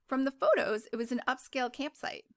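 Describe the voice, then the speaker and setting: A woman's voice: high-pitched, fairly quick, no echo, clean studio sound. A woman reading a first-person novel aloud in a casual, informal way, sometimes stumbling and correcting herself. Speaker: book club host reading a novel aloud.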